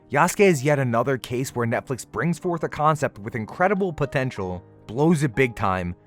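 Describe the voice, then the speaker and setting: A man's voice: mid-range voice, a bit bright. A man giving a casual, opinionated video essay commentary in an informal, sarcastic manner, with some casual swearing. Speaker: video reviewer and host. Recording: music behind the voice. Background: background music.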